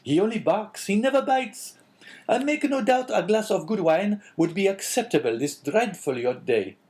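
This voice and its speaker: A man's voice: high voice, lively intonation, moderate pace, darkish tone, pitched above the performer's own voice. A man reading an audiobook, voicing a fawning, flustered middle-aged innkeeper who is eager to please. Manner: eager, bowing and apologetic. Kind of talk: audiobook character voice.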